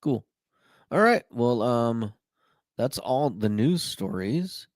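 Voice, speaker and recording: A man's voice: medium pitch, big pitch swings, slow, no room sound, studio quality. A man co-hosting a podcast in casual chat, eagerly explaining how a card game works. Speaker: podcast co-host.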